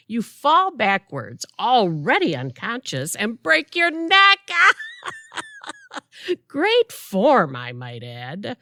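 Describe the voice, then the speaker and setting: A woman's voice: medium pitch, bright, forceful tone, no echo, clean studio sound, exaggerated voice. An actress playing a breezy, flippant afterlife clerk who teases a newly dead woman, in a community audio drama. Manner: chipper and glib, teasing, laughs at grim news.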